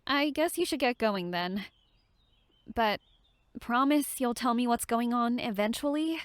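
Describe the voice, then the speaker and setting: A woman plays an eager young female head counselor with a high, very melodic voice. She is perky and inquisitive, in a horror audio drama recorded dry and close. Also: lively intonation, a little slow, no echo. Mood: hurt. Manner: bubbly, inquisitive.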